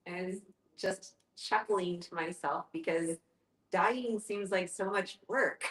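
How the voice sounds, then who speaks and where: Lower-voiced woman moderating, echoey room. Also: takes it slowly, fairly bright tone. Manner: informal, conversational. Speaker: discussion moderator. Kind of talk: casual group discussion.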